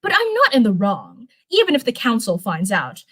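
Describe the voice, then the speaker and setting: A woman's voice: a little high, huge pitch swings, moderate pace, breathy edge, exaggerated voice. A woman voicing a defiant young witch who answers in cold retorts within a story read aloud.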